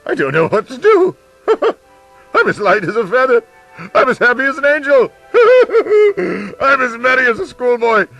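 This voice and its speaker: A man's voice: extremely high pitch, vocal fry, sharp tone, exaggerated voice. An actor playing an old miser facing his own repentance in a live radio drama, theatrical and given to stammered repetitions. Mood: giddy, overjoyed.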